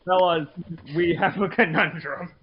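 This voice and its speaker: Higher-voiced man in muffled podcast chat. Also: steady tone, unhurried, slightly rough. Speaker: podcast co-host.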